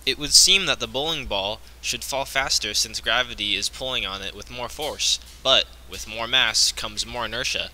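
A young man giving deadpan mock-serious documentary narration for a comedy video. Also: medium pitch, normal speed, few pauses, slight background noise, low-quality recording.

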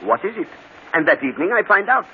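An actor playing a shrewd, courteous, self-assured detective with a French accent, precise and theatrical, in an old radio mystery. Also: male voice, high-pitched, big pitch swings, fast talker. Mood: triumphant, mocking. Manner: theatrical, precise, mock-polite. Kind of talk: 1940s radio mystery acting. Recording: tinny, hissy old radio broadcast.

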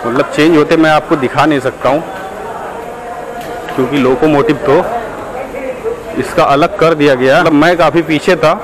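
A man's voice: on the high side, steady tone, moderate pace, pauses often, crowd noise, rough recording. A young man chatting casually to viewers as a travel vlogger, talking into an action camera held at arm's length on an outdoor railway platform and in a train coach. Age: young adult. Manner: chatty, talks straight to viewers, many fillers. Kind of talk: casual vlog chat to camera. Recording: loud station and train noise.

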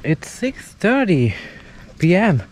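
A young man chatting casually in halting, simple phrases as he records a walking vlog. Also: high-pitched, very animated, a little mellow. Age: young adult. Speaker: walking vlogger. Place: outdoors, suburban street and park path. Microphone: action camera at arm's length, no windscreen. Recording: windy outdoor camera mic, street noise.

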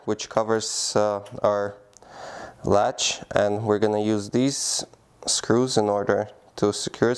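Brief: male presenter, smooth clean voice, practical step-by-step product demo with casual fillers. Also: fairly low pitch, a little mellow. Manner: plain, practical, many "uh" fillers. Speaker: product demonstrator. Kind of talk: how-to demonstration. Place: indoor room by a door.

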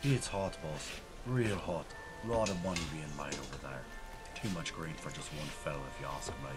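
An actor playing a nervous, hotheaded Irish gangster informant in an old-time radio drama, with an Irish accent. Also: male voice, very deep voice, moderate pace, over loud music. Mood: anxious. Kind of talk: 1930s radio drama acting.

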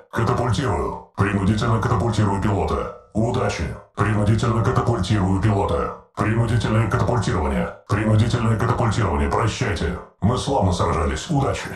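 A man's voice: fairly low pitch, close to an everyday voice. A man voicing a male heavy war robot in a dubbed game, giving terse military status reports. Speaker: game voice actor. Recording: roomy reverb, distant, music behind.

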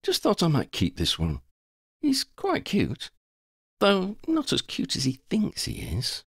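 A man's voice: high-pitched, wildly swooping pitch, slow, slightly rough, no room sound, studio quality, voice pushed up, full character voice. A man voicing a brash, tough, playful young woman werewolf hunter in a flippant, cocky way for an audiobook. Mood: flippant, boastful. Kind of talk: audiobook character voice.

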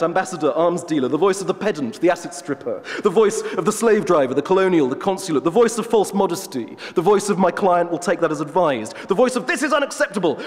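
A man's voice: high voice, big-room sound, pitched above the performer's own voice. A man voicing a pompous male wolf scholar who talks in a plummy, condescending way. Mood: declaiming.

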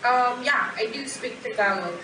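A woman's voice: on the high side, normal speed, distant mic. A young woman chatting casually from home over a video call. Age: young adult. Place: indoor home room with gaming computers. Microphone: webcam video call. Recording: echoey room, faint noise, video call.

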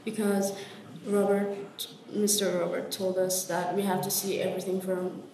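A woman's voice: mid-range voice, flat delivery, normal speed, warm tone, roomy sound, recorded from a distance, a little hiss or hum, poor audio. A young woman from Ethiopia, a student journalist, answering earnestly and searching for her words in a classroom exercise. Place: classroom workshop, indoors.

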